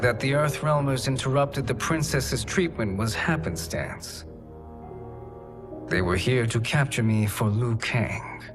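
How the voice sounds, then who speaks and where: An actor playing a cunning sorcerer in a video game, measured, formal and insinuating, with music behind him. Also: male voice, mid-range voice, lightly acted. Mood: smooth, calculating. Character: sly male sorcerer, cunning flattering schemer. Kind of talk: dramatic video game acting.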